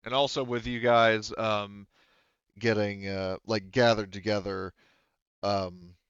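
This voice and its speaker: Male game master, deep and clean-toned, halting casual delivery, slightly dull dry audio. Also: little pitch movement, fairly bright tone, smooth, no room sound. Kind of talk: narrating an online tabletop game.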